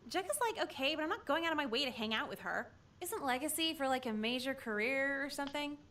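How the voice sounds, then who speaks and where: Bright, snide cynical teenage girl. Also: high voice, quick pace. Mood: sardonic. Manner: sarcastic, deadpan, snide. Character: cynical foul-mouthed teenage girl high school student. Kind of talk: visual novel game voice acting.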